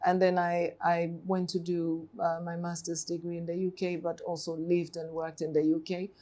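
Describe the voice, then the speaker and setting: A woman's voice: on the low side, level tone, normal speed, warm tone. A woman in her forties from Tanzania, talking thoughtfully as a podcast guest with many ums and restarts. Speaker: guest, nonprofit CEO. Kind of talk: podcast interview.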